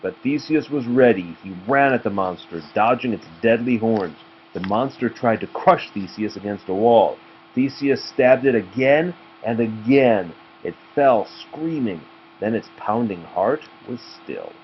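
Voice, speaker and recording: A man's voice: mid-range voice, animated, unhurried. A man reading a children's storybook aloud as a parent to a child, in a narrating style. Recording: muffled home recording with clear noise.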